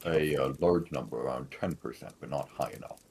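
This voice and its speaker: A man's voice: very deep voice, brisk, creaky, darkish tone, husky. A man co-hosting a podcast as quizmaster, chatting casually and often stammering as he starts a phrase. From Canada.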